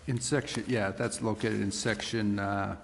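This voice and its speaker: A man's voice: on the low side, steady tone, normal speed, vocal fry. A man, a city official, giving procedural advice in a polite, formal and deferential manner. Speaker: city official advising the council. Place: large indoor council chamber. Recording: echoey noisy council chamber.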